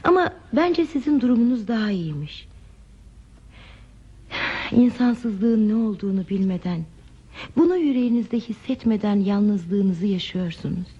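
An actress playing a lonely, reflective wanderer in a radio play, speaking in a measured, wistful, philosophical manner. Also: female voice, medium pitch, lively intonation, dark tone, breathy edge. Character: lonely, reflective wandering woman without belongings. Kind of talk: Turkish radio theater acting. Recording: dull, muffled radio drama recording.

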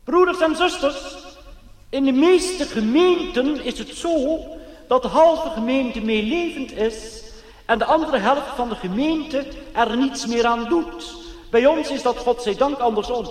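A man playing a solemn Protestant preacher in a cabaret sketch, addressing his congregation in a drawn-out, declamatory pulpit delivery. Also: extremely high pitch, reverberant, long reverb tail, voice pushed up.

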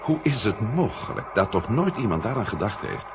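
A man playing a quick-thinking, drily wry space scientist in a 1970 radio drama, reasoning aloud with hesitant pauses. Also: lowish voice, sing-song. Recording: noisy, muffled 1970 radio recording.

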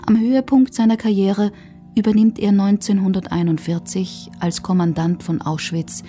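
A woman's voice: medium pitch, steady tone, normal speed, warm tone, soft background music. A woman narrating a scripted documentary in a factual, sober manner.

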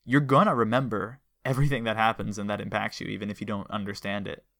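A man's voice: lowish voice, flat delivery, fast, creaky, a bit bright. A man hosting a podcast in a casual, conversational interview style. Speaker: podcast host.

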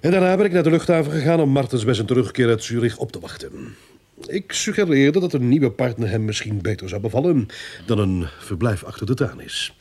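Cocky corrupt policeman, radio drama, raspy voice that swings up and down. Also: mid-range voice, animated, a little fast, a little mellow. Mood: calculating. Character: smooth-talking corrupt policeman, cocky and scheming.

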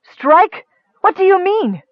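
A man voicing a fierce, desperate young woman, urgent and shrill, in a fantasy audiobook. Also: big pitch swings, slow, dry recording, highs cut off, full character voice. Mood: outraged accusation.

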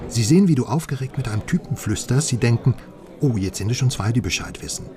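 A man's voice: medium pitch, expressive, fast, lightly acted. Radio drama sidekick: actor as sarcastic West German male detective, swooping delivery, music behind. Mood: mocking. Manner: teasing, sarcastic. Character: brash, mocking West German private detective friend.

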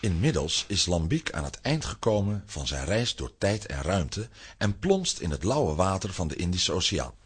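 A man with a husky voice narrating a scripted children's radio play as an animated storyteller. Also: sits low, normal speed, background noise.

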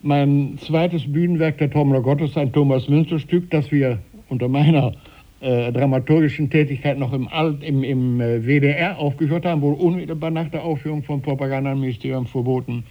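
An eighty-year-old man recalling his life deliberately and precisely as a studio interview guest. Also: fairly high pitch, steady tone, lo-fi sound. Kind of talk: reminiscing in an interview. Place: radio studio.

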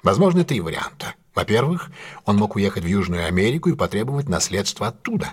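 An actor playing a shrewd detective in an audio drama, laying out a case in a measured, articulate way with deliberate pauses. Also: male voice, fairly low pitch, big pitch swings, slightly rough. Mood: thoughtful, deliberate. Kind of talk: audio drama acting, expository monologue.

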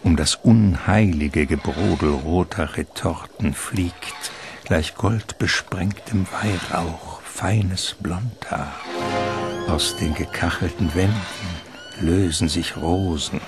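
A man narrating a literary radio play in a measured, ornate storytelling manner. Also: deep voice, over music.

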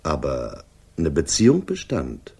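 Sardonic police inspector, deep, animated intonation, dry probing authority, gruff, controlled hostility, radio crime drama. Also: male voice, unhurried, exaggerated voice. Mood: stern probing.

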